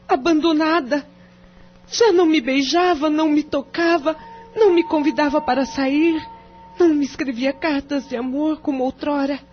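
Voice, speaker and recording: A woman playing a remorseful, anguished wife in an audio drama, confessing formally and emotionally. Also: sits high. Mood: wounded, accusing. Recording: dull lo-fi audio with music behind.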